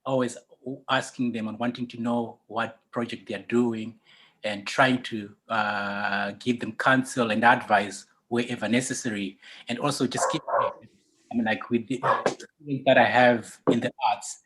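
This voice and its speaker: A man speaking as a parent and longtime artist on a panel, measured and supportive in tone. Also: mid-range voice, fairly even intonation, fairly bright tone, husky, rough recording. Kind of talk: panel discussion.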